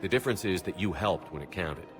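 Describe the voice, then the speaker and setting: A military commander in a video game cutscene. His voice is very low, with a calm and steady delivery. He keeps things concise, sincere and supportive while music plays underneath. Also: quick pace.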